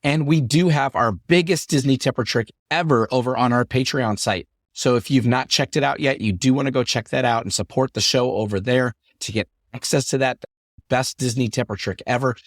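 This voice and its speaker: A middle-aged man hosting his podcast in an upbeat, chatty way, his voice deepened by a lingering cough after an illness. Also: moderate pace, no echo, studio quality. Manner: upbeat, chatty, repetitive phrasing. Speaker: podcast host. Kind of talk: solo podcast talk.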